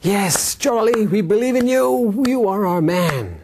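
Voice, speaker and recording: Man putting on high, excited crowd onlookers. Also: a little slow, a little hiss or hum. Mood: excited, eager. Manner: eager, exclamatory, repeating words.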